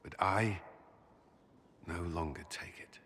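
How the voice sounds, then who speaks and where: Game military commander: serious, duty-bound man, low husky voice, reverberant space. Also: slow, fairly bright tone, full character voice. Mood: solemn, hesitant. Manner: serious, earnest, military briefing. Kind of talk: video game character dialogue.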